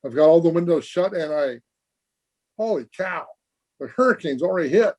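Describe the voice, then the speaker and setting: A man playing an eager, excitable teenage schoolboy in an old-time radio comedy. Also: a little high, moderate pace, noisy background, lightly acted. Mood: excited.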